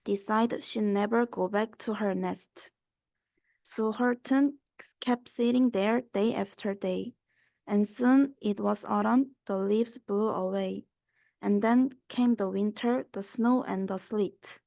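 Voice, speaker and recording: Slow, slightly creaky young woman storyteller. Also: medium pitch, mellow, dry recording. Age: young adult. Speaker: student reader. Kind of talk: reading a children's rhyming story aloud.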